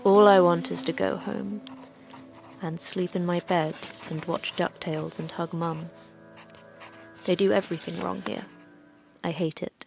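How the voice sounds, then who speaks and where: A woman's voice: lowish voice, fairly even intonation, a little slow, creaky, pauses often, music in the background. A woman playing a brusque, sardonic, talkative commander in a sci-fi horror audio drama. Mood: weary, miserable. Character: wry, brusque female commander of an Antarctic monster facility.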